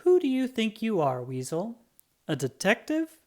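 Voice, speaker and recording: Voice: medium pitch, wildly swooping pitch, measured pace, pitched above the performer's own voice. A narrator putting on a haughty, emphatic storybook know-it-all girl. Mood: indignant. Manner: emphatic, dismissive, lecturing. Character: haughty, skeptical young female friend, storybook know-it-all.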